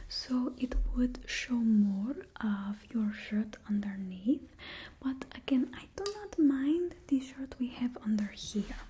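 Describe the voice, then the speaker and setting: Brief: woman putting on a suave supervillain stylist, put-on Russian accent, close whisper, mellow and slow, fussy and attentive. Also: high-pitched, a little hiss or hum, low-quality recording. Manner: fussy, attentive, drops small words. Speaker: ASMR roleplay performer. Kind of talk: ASMR roleplay. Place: indoor room in front of a painted backdrop. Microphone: close ASMR mic, moving near and far.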